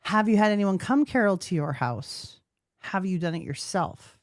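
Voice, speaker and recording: A woman chats on a podcast, peppering the others with quick questions and light teasing. She is recorded close and dry, with no room sound at all. Her pitch sits in a middle range. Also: lively intonation, no echo. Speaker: podcast co-host. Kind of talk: casual chat.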